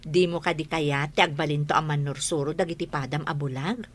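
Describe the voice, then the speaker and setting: A woman playing a gentle mother and teacher in a radio drama, speaking in an encouraging way. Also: sits low, fairly even intonation, fairly quick.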